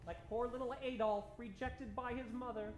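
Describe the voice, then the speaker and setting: A man playing a pompous, ardent gentleman doctor in a melodramatic old-time radio adventure parody. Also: very high-pitched, fairly even intonation, normal speed, echoey room, faint music behind. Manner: melodramatic, declamatory.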